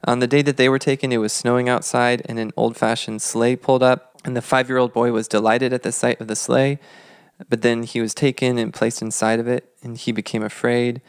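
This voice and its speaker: Clear-voiced man with some creak, closely recorded. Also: mid-range voice, level tone, brisk, no room sound, clean studio sound. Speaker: podcast co-host. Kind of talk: conversational historical storytelling.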